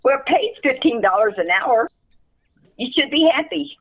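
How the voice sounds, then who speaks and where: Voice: high voice, expressive, normal speed, vocal fry, narrow, tinny audio, exaggerated voice. Someone playing a tired, brusque city caseworker. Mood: weary, brusque. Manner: weary, impersonal, dismissive. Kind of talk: radio play acting.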